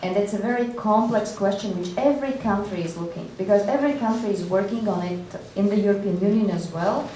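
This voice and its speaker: A woman speaking as a panelist, explaining in a measured way during a discussion. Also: mid-range voice, mellow. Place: indoor panel discussion room. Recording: distant echoey room, faint noise.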